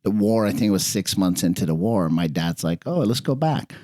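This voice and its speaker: A man's voice: sits low, lively intonation, brisk, mellow, dry recording, studio quality. A middle-aged man from Iran, partly raised in California, talking earnestly and casually as a chef guest on a podcast. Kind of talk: casual podcast interview, personal stories.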